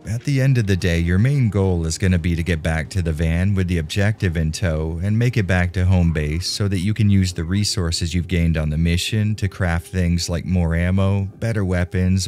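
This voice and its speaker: Very deep-voiced man narrating a game review. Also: darkish tone. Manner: wry, tongue-in-cheek humour. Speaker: video game reviewer and channel host. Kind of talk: scripted review narration with casual, conversational phrasing. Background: background music.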